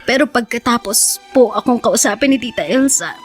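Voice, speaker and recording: A high-pitched young Filipino daughter, played by a woman in a radio drama. She is respectful and earnest, hesitating politely, over a faint bed of music. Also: moderate pace, natural voice. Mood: contrite.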